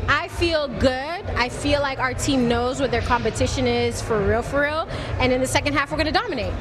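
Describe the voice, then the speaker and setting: A woman from Boston whose first language is Haitian Creole, a cultural organizer speaking earnestly and passionately at a lively watch party. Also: high voice, a little fast. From Boston, United States. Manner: passionate, earnest, repeats words for emphasis. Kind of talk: on-the-spot interview. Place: watch party at a bar with loud music. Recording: loud music behind the voice.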